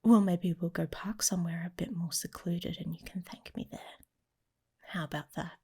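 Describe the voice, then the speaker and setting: A woman voicing a protective, teasing werewolf mate in an intimate ASMR romance roleplay, speaking softly and casually, often slipping into a whisper. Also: lowish voice, moderate pace, slightly rough. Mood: affectionate, wry. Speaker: audio roleplay performer.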